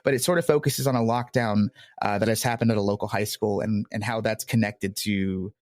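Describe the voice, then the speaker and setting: A man's voice: on the low side, steady tone, fairly quick, no room sound. Man in close, dry conversational interview. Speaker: podcast guest, audio drama creator.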